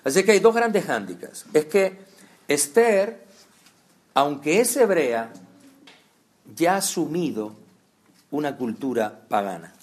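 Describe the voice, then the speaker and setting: Male preacher, fairly high voice, chatty sermon with lots of questions to the listeners. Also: expressive, takes it slowly, long pauses. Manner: conversational, addresses listeners directly with rhetorical questions.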